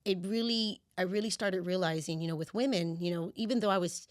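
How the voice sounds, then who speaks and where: A woman's voice: on the low side, a little fast, dry recording, studio quality. A woman coach raised in the US by Cuban parents, with Spanish as her first language, telling her story in a casual interview and acting out her past reactions. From United States, of Cuban parents. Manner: animated storyteller, acts out her own past voice. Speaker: guest, women's empowerment coach.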